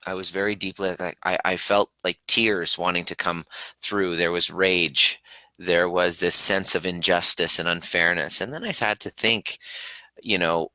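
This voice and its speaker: A man who grew up in Canada after early years in Iran, hosting a live question-and-answer stream in an earnest, halting way with many restarts. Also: low voice, steady tone, bright, forceful tone, dry recording, low-quality recording. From Iran, raised in Canada. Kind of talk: casual live-stream talk.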